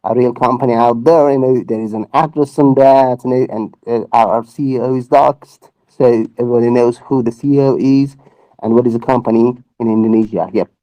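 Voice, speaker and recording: A man's voice: medium pitch, fairly even intonation, fairly quick, warm tone, no room sound, poor audio. A man answering questions in a voice chat, hesitant and full of fillers and restarts. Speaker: project team member answering questions. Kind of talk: casual voice-chat Q&A.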